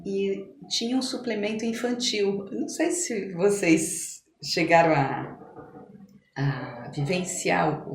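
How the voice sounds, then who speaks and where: A mature Brazilian woman chatting as a podcast guest, telling stories in an animated but halting way that circles back on phrases. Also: fairly low pitch. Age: middle-aged or older. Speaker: podcast guest, doctor and amateur musician. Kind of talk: casual conversational storytelling in an interview. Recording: echoey room with some background noise.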